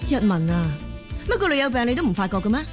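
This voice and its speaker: A woman acting a busy working mother in a radio drama, with a Hong Kong Cantonese accent, expressive, colloquial and easily exasperated. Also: on the high side, quick pace, loud music behind the voice. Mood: angry, scolding.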